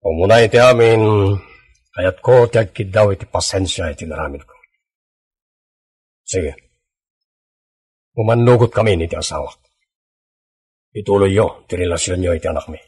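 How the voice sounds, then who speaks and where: A man's voice: low-pitched, moderate pace, long pauses, darkish tone, breathy edge. A man playing an earnest, troubled, strict middle-aged father in an emotional radio drama. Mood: pleading. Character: troubled, pleading strict father of a wayward daughter.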